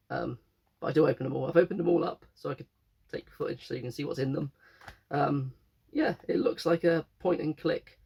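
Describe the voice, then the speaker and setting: Young male vlogger, hoarse from a cold, raspy and gravelly, loose rambling delivery. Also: fairly high pitch, darkish tone. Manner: rambling, self-correcting. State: croaky with a cold. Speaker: game collector vlogger. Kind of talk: casual chat.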